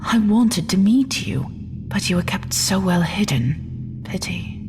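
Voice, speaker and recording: A woman's voice: medium pitch, slow, over music. An actress playing a proud, regal, middle-aged immortal queen with formal, theatrical speech in an audio drama. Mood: astonished, dignified.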